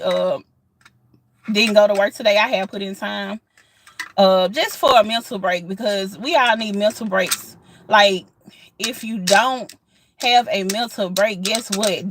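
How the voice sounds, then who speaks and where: A woman's voice: medium pitch, a bit bright, a little hiss or hum. A woman in her thirties talks straight to her viewers in a loose, casual vlog style. She is earnest and encouraging, circles back and repeats herself, and leans on plenty of fillers as she talks. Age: 30s. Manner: earnest, repetitive, encouraging, colloquial with many fillers. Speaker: vlogger. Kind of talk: casual outdoor vlog chat. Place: outdoors in sunlight.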